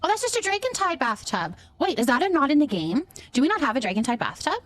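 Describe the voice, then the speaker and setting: A woman's voice: high voice, big pitch swings, fast. A woman livestreaming a game, chatting casually with viewers and thinking aloud as she goes.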